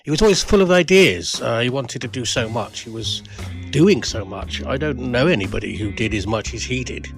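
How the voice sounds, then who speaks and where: A man's voice: mid-range voice, animated, normal speed, gravelly, soft background music. A man giving a personal spoken tribute to a friend, reminiscing in a self-deprecating way. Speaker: writer paying tribute to a friend.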